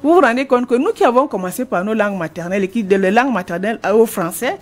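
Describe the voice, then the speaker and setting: A woman's voice: a little high, expressive, quick pace. A woman in her sixties with a Cameroonian French accent, a retired language teacher answering interview questions earnestly, often restarting her phrases. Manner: earnest, explanatory, with frequent restarts.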